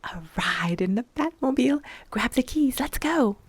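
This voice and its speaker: Bubbly coaxing young woman, lively and bouncy pitch, read by a female storyteller. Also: normal speed, noisy background, natural voice. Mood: playful. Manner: teasing, excitable, coaxing.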